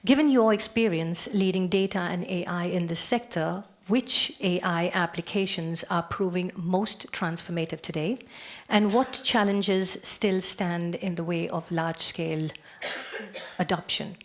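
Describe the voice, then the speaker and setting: A woman's voice: on the low side, fairly even intonation, a little slow. A woman moderating a panel, politely thanking speakers and reading out questions.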